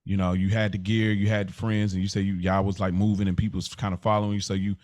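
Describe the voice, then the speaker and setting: Deeper voiced guy cohosting a podcast, chill convo, affirming and attentive, no room sound. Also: level tone, fast talker, darkish tone, no room sound. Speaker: podcast co-host. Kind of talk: casual, supportive conversation.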